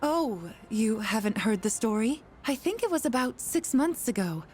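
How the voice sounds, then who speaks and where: A talkative middle-aged neighborhood mother who passes on local stories in a friendly, gossipy way. Her voice sits a little high. It is a video game cutscene. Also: sing-song, normal speed, darkish tone, slight background noise. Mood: chatty. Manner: chatty, storytelling.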